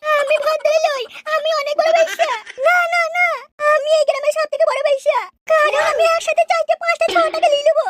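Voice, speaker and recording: A woman's voice: very high voice, steady tone, a little fast. A woman voicing a brash, vain and quarrelsome village woman in a cartoon, insistent and boastful. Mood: boastful, indignant. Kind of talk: cartoon dialogue. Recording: noisy track with clicks.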